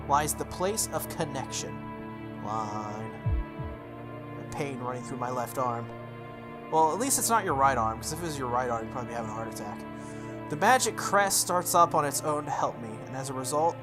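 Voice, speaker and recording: A man hosting a game playthrough, reading a visual novel aloud with dramatic delivery and casual asides. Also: a little high. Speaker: video game playthrough host. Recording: background music behind the voice. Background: game music.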